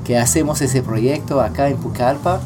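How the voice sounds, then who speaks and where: A man, a teacher talking as a guest, halting and repeating words. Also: medium pitch. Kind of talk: casual chat in a learned language. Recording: music playing in the background.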